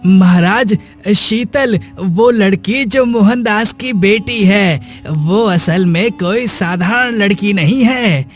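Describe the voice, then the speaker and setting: Male actor as cartoon tattletale villager: greedy, eager, high voice over music. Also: takes it slowly, hardly any pauses. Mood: eager, excited. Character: greedy, excited village informer. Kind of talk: Hindi cartoon moral story acting.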